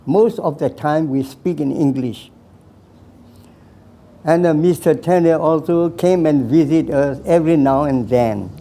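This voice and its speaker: A man in his nineties from Burma, slowly telling his life story with hesitant pauses and repeated phrases. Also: fairly high pitch, mellow. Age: 90s. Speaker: veteran recounting his life. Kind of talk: slow personal storytelling.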